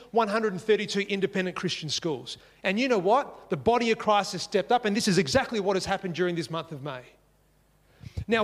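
A man's voice: sits high, long reverb tail. A man preaching a sermon in earnest, repeating key phrases for emphasis. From Singapore. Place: church.

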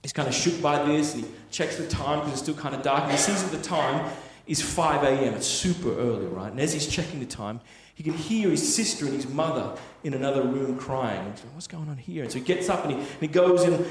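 A man's voice: a little high, a little fast, hardly any pauses, slight background noise. A man preaching a sermon, telling a story in an earnest, conversational way with frequent tag questions. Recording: echoey hall with long reverb.

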